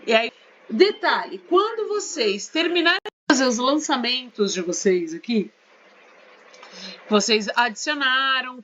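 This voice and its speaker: A woman's voice: a little high, fairly bright tone, highs cut off, a little hiss or hum. A Brazilian woman teaching English in a casual walkthrough tutorial, chatty and often checking in with her students. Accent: Brazilian Portuguese. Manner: chatty, checks in with tag questions. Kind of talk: casual screen-share tutorial.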